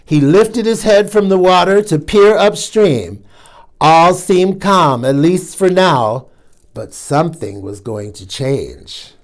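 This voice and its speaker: A man's voice: high voice, animated, takes it slowly. A man hosting a children's storytime, reading a picture book aloud with playful asides to young listeners. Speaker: storytime host.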